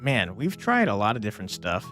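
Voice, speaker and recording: A man's voice: fairly low pitch, huge pitch swings, brisk, sharp tone, soft background music. A man giving casual, jokey livestream commentary on a game and chatting easily with viewers. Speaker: game streamer.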